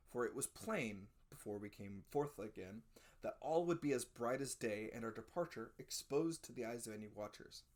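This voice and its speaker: A narrator giving a dramatic scripted reading of a classic adventure story, with expressive, theatrical emphasis. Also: medium pitch. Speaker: audiobook narrator.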